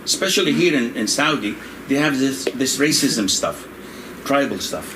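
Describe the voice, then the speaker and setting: An older man, a religious scholar, answers questions in a loose, conversational way, wandering between points and breaking into laughter. His voice sits at an ordinary pitch. A steady hiss of room noise and air conditioning sits behind him. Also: moderate pace. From Indonesia. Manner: digressive, joking, laughs often. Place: room in Saudi Arabia.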